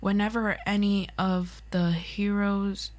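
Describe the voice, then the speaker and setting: Casting: woman as reserved, resentful former superhero, deliberate and accusing, for audio roleplay. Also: medium pitch, flat delivery, a little hiss or hum, lightly acted. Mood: bitter, restrained. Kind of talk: ASMR roleplay acting.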